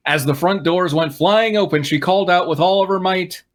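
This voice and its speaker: A man's voice: high voice, moderate pace. A man reading fan fiction aloud as a comic host, narrating mock-dramatically and breaking into wry asides. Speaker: reader and riffing co-host.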